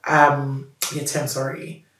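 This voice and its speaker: Animated Nigerian woman, roomy and distant. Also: a bass voice, slow. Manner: animated, laughs often, restarts sentences. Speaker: business founder, guest. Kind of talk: candid storytelling interview.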